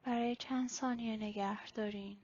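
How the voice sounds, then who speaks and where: A woman leading a guided body-scan meditation in a slow, instructional way with pauses. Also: on the high side, fairly even intonation, darkish tone. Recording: slightly dull, lo-fi recording.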